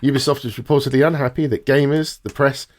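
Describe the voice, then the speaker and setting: A man's voice: medium pitch, steady tone, quick pace, a little hiss or hum. A man co-hosting a podcast, informally reading out gaming news items to the others.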